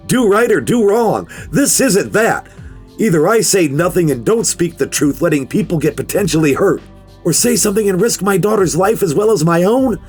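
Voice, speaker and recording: A man playing a weary, fearful middle-aged father, giving a grave, measured first-person confession in a horror story narration, with a low, gravelly voice. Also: expressive, a little mellow, faint music behind. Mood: anguished, resolute.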